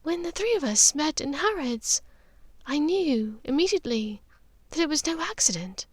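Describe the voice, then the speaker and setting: A refined middle-aged witch, acted by a woman narrator, speaks in a raised, high register. She is measured and precise yet emotional, as in a storybook, on a very dry recording. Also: sing-song, no echo. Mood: earnest, wistful. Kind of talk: audiobook character dialogue.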